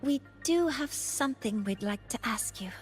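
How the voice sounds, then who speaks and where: An actress playing a composed woman professor in a game, speaking in a measured, formal way. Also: fairly high pitch, expressive, soft background music, lightly acted.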